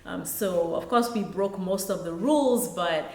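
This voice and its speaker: امرأة من نيجيريا تروي قصتها بهدوء في غرفة ذات صدى. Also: female voice, on the low side, a little slow. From Nigeria. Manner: relaxed, reflective storytelling with soft laughs. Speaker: author and pharmacist. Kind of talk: interview guest. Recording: echoey room.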